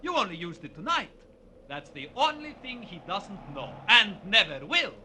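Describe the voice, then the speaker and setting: A half-crazed, delicate murderer in a 1940s radio mystery. His voice is piercing and wildly animated, theatrical and full of bitter menace. A faint hiss sits under the old recording. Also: sits high, normal speed, exaggerated voice. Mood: cold gloating. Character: half-crazed, delicate male ballet dancer turned murderer. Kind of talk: 1940s radio crime drama acting.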